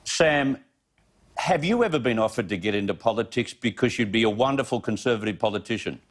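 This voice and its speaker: Male radio host, lively up-and-down pitch, chatty, teasing. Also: fairly quick. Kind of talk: talk radio interview.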